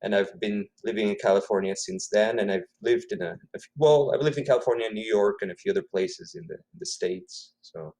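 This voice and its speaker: A man's voice: on the low side, a little fast, vocal fry, hardly any pauses, mellow, low-quality recording. A man from Ecuador whose first language is Spanish, speaking as an author guest in a casual interview, thoughtful and often repeating himself as he finds his words.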